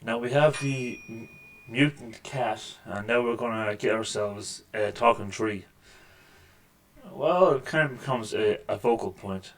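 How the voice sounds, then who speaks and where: Deep-voiced man joking through a let's-play. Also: measured pace. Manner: wry, joking asides over gameplay. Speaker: gaming channel host. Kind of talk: casual let's-play commentary. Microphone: desk microphone with mixer.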